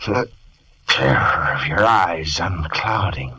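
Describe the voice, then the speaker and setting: An actor playing Dr. Jekyll, a troubled gentleman scientist, in theatrical 1940s radio drama style. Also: rumbling low, animated, slow, breathy edge, full character voice. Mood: intense. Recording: old radio recording with faint hiss.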